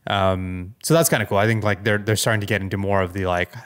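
A man with a fairly low voice talks casually as a podcast co-host. He sounds tentative, working out his thoughts as he goes. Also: fairly even intonation, fast talker, a bit bright, clear, resonant tone. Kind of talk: casual tech podcast chat.

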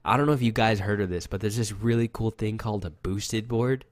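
A young man with a fairly low, youthful-sounding voice, chatting loosely and swearing as he rambles through stories. The sound is dry and close, with no room around him. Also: a little fast, no echo. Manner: rambling, loose storytelling with lots of fillers and swearing. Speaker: podcast co-host. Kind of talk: casual chat.

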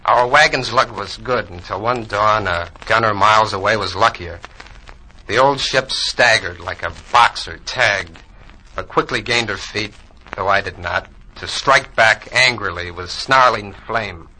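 An actor playing the calm ghost of a sea-loving navy sailor, reflective and solemn, in 1940s radio verse drama. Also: male voice, lowish voice, measured pace, full character voice, rough recording. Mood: wistful, solemn. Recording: old 1940s radio broadcast recording. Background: musical score.

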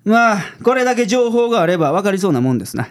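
A woman's voice: medium pitch, very animated, racing, natural voice. A woman playing a brusque, dry male private detective in a comic radio drama, with of speech. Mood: pondering. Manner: brusque, dry, casual older-man phrasing. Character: gruff male private detective, brusque, stubborn, sneezing with hay fever.

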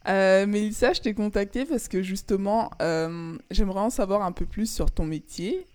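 A woman's voice: mid-range voice, moderate pace, a little mellow. A young woman, a student, hosting a podcast interview with friendly, encouraging reactions. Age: young adult, student. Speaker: podcast host.

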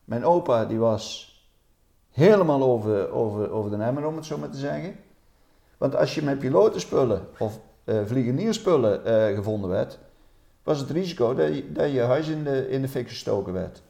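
Male local witness, mellow creaky voice, halting recollection, reverberant room. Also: medium pitch, animated. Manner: halting, repeating words. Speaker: local witness recalling family history. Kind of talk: informal interview recollection. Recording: echoey room.

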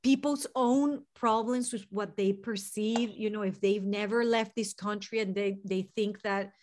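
A woman's voice: medium pitch, fairly even intonation, a little slow, darkish tone. A woman in her forties from Venezuela with a Venezuelan Spanish accent, a therapist speaking earnestly and reflectively as a podcast guest. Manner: earnest, reflective, speaks in long unfolding sentences. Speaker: guest, licensed mental health therapist. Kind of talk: podcast interview.

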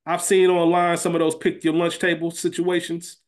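A man's voice: a little high, monotone, moderate pace. A man chatting casually and emphatically as a podcast co-host, conversational in his delivery. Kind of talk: casual chat and recap.

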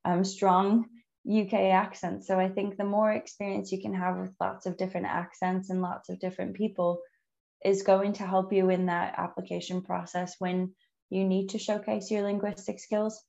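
A woman who is a native English speaker, hosting a conversational interview in a measured, encouraging way with frequent ums. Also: medium pitch, flat delivery, vocal fry, mellow, muffled recording. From outside the UK. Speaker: podcast host.

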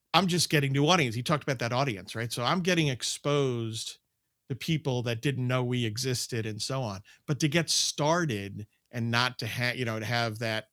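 A man's voice: medium pitch, normal speed, a bit bright, no room sound, studio quality. A man in his late fifties chatting casually as a podcast guest, reminiscing and telling anecdotes. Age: late 50s.

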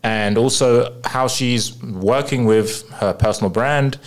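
A man hosting a podcast interview, with a voice that sits in a middle range. He asks questions in a friendly, relaxed way and laughs easily, nodding along with short "yeah"s. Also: mid-range voice, slow pace. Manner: friendly, laughs often, brief affirmations. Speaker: podcast host. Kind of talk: casual interview.

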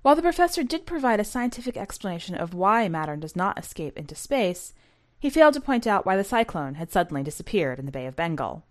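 A woman narrating a short story for a podcast in a measured, precise, scripted audiobook style. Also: medium pitch, very animated.

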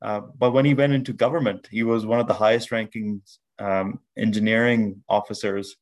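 A man from Pakistan in his thirties, a podcast guest telling his life story in a measured way with many restarts. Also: lowish voice, steady tone. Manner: measured, with frequent restarts and fillers. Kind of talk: reflective storytelling interview.

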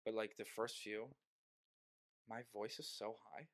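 A man's voice: lowish voice, steady tone, measured pace. A young man hosting a podcast in casual chat, rambling and joking, often breaking off mid-sentence. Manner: rambling, self-interrupting, joking.

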